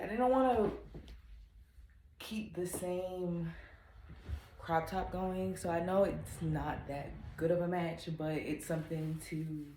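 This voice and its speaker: A woman's voice: lowish voice, darkish tone, a little hiss or hum. A young woman vlogging in casual chat, sounding nasal from a head cold and talking in a chatty, upbeat way full of fillers.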